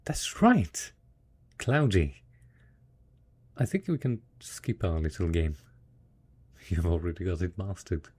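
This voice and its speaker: A man plays a devoted husband in a post-apocalyptic roleplay drama, talking softly and intimately to the spouse he cares for. His voice is fairly low and husky, and he takes his time. He is encouraging and hopeful, full of endearments. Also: very animated, takes it slowly, pauses often, full character voice. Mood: excited, encouraging. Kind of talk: roleplay audio drama, intimate monologue addressed to listener.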